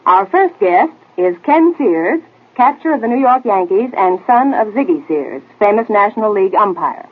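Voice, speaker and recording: An American actress playing a spirited, teasing young detective's assistant in a 1940s radio drama, pert in manner. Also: female voice, mid-range voice, lively intonation, moderate pace, lightly acted. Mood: bright, announcing. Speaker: detective drama co-star who introduces guests. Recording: tinny, band-limited old radio recording.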